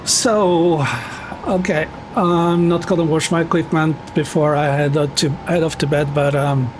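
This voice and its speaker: Norwegian-accented man, young, slow and easygoing, answering chat over a faint hiss. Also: on the high side. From Norway. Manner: relaxed, chatty, many fillers, answers chat. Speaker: streamer. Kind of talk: casual chat while gaming.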